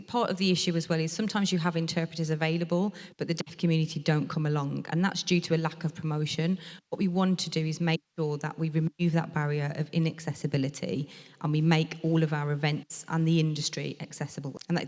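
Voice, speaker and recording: Woman, sign language interpreter, low voice, measured, explanatory, long hall reverb. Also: a little mellow. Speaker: sign language interpreter voicing a deaf speaker. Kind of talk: interpreting a design talk aloud. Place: lecture hall at a museum event.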